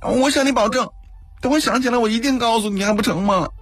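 A woman's voice: fairly high pitch, fast talker, breathy edge, no echo, soft background music. A woman playing a timid, frightened young princess in an audio drama, pleading with stammers and whines. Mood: desperate. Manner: stammering, breathless, whining pleas.

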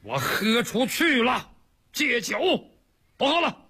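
Male storyteller as hot-headed outlaw brute, high-pitched and husky, blunt, blustering, plaintive. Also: very animated, unhurried, heavily acted. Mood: protesting. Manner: loud, blunt, wailing and pleading. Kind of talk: traditional Chinese storytelling performance.

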